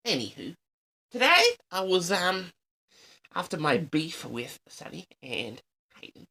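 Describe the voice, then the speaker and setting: Man doing a pompous eccentric diarist, wildly swooping pitch. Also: sing-song, unhurried, vocal fry, pushed and bright, full character voice. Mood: grumbling, aggrieved. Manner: pompous, theatrical, indignant. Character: pompous, easily affronted eccentric man keeping an audio diary. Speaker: audio log host. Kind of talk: comic rambling monologue to an audience.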